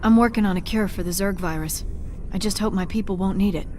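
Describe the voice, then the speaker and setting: A woman's voice: medium pitch, fast talker, darkish tone, slight background noise, lightly acted. A woman voicing a sincere, protective doctor and colony leader in a video game. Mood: concerned.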